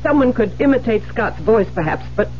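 An actress playing an elegant, agitated wife in a 1970s radio drama, theatrical and halting, with stammers. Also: female voice, on the high side, expressive, a little hiss or hum, close to an everyday voice. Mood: insistent. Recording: muffled old radio broadcast, music.

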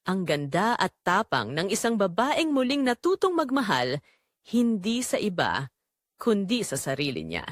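A woman's voice: lowish voice, dry recording, studio quality. A woman reading dramatic first-person scripted narration as the narrator of an audio drama.

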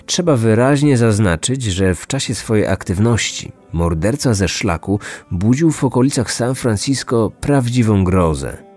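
A man with a low voice delivers scripted true-crime narration as a podcast host. Music plays clearly underneath him. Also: expressive, moderate pace, a little mellow. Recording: music bed behind the voice.

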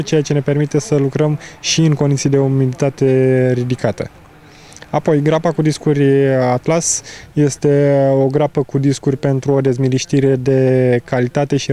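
A man's voice: mid-range voice, mellow. A man, a product specialist explaining farm equipment in a hesitant, technical way outdoors. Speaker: agricultural machinery product specialist. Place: outdoors in an open field. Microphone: handheld interview microphone.

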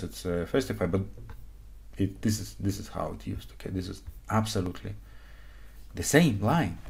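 Man, 40s, lowish voice, non-native english speaker, live coding lesson, frequent okay checks, desk at home. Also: creaky, a little mellow. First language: not English; speaks Hebrew, Russian, Ukrainian. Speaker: conference speaker.

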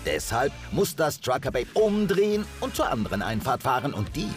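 A man's voice: mid-range voice, huge pitch swings, moderate pace. A man narrating a reality documentary in a playful, sing-song way. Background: music.

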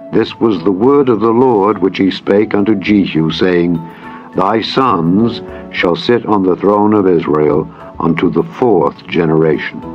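A man reading scripture as a dramatized audiobook narration, solemn and measured, with deliberate phrasing. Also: low voice. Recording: dull band-limited audio with music behind. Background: background music.

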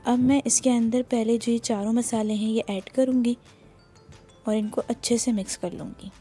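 Soft-spoken woman giving a recipe. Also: on the high side, fairly even intonation, moderate pace, faint music behind. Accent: Pakistani Urdu. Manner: plain, instructive, explains each step while working. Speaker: cooking channel host. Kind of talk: step-by-step recipe narration. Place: home kitchen.

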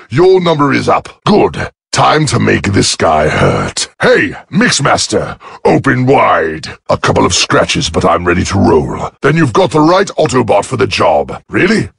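An actor playing a cocky, gruff, battle-loving giant robot soldier in a video game, raspy and gravelly, with a swaggering, wisecracking delivery. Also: male voice, fairly low pitch, big pitch swings, measured pace, fairly bright tone, dry recording, studio quality, full character voice. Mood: fired up, then confident.

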